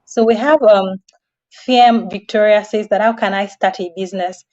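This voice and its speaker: Soft-edged mellow lady host on a livestream, chatty and thankful, slightly muffled lo-fi sound. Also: mid-range voice, steady tone, moderate pace. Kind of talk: hosting a live online event. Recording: dull, lo-fi online audio.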